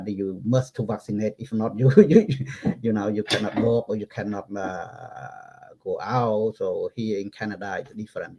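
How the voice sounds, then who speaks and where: A man, native Khmer speaker with a French accent, giving halting answers as a guest on a video call and chuckling often. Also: on the low side, mellow, rough recording.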